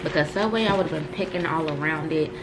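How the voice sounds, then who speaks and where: A woman's voice: low-pitched, quick pace, background noise. A young woman co-hosting a casual food review vlog, chatty and teasing, with easy slangy talk, seated at a table close to the camera indoors. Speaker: vlog co-host.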